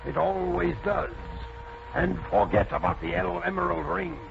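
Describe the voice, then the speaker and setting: A man's voice: sits high, sing-song, normal speed, creaky, heavily acted. An actor playing a sinister, coldly commanding voodoo miracle man with solemn, portentous delivery in an old radio drama. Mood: coldly menacing. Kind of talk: 1940s radio acting. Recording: noisy muffled old radio recording.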